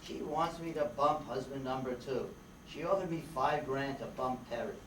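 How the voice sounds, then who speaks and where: A man's voice: mid-range voice, normal speed, natural voice. A man playing a wisecracking, hard-boiled private eye in an old-time radio drama, slangy and sardonic. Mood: brash, irritated. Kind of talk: old-time radio detective narration and dialogue. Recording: roomy, noisy, distant microphone stage recording.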